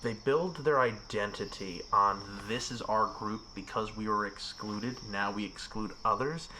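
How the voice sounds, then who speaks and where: A man's voice: lowish voice, a little slow, sharp tone, slight background noise, rough recording. A man talking in a casual podcast chat, ranting with emphasis and frequent swearing. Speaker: podcast guest.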